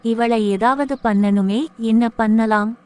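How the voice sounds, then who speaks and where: A woman voicing a jealous, scheming younger daughter-in-law in an animated family moral short. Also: on the high side, takes it slowly, clean tone. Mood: scheming, resentful. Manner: resentful, plotting, speaks thoughts aloud.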